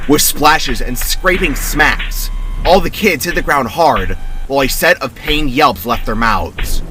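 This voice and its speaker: A man's voice: medium pitch, bright, forceful tone. A man narrating a scripted dramatic story as its storyteller.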